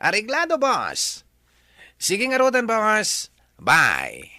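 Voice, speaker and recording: A man voicing a brash, boastful thug henchman in an audio drama who shouts his threats. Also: high-pitched, wildly swooping pitch, slow, a little hiss or hum, exaggerated voice. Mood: eager. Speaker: hired thug. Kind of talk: Ilocano audio drama acting.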